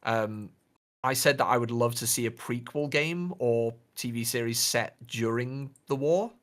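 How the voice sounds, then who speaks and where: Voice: medium pitch, unhurried. A podcast co-host chatting casually in a playful, rambling way. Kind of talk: casual chat.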